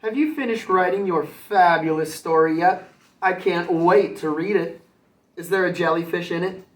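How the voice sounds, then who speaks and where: A man voicing an excitable, self-assured female jellyfish from a storybook, bubbly and boastful. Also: high voice, moderate pace, natural voice. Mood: excited.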